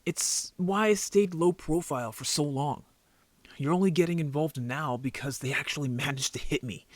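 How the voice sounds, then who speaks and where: A man playing an injured young werewolf, a defensive, easily embarrassed brother who talks casually and haltingly in an audio drama roleplay. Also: on the high side, no room sound, lightly acted. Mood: earnest, defensive.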